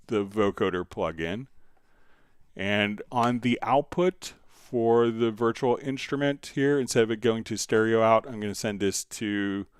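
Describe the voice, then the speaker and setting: A man hosting a casual software tutorial, thinking aloud and hesitating as he demonstrates. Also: on the low side, smooth, no echo, studio quality. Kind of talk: casual screen-share software tutorial, live stream.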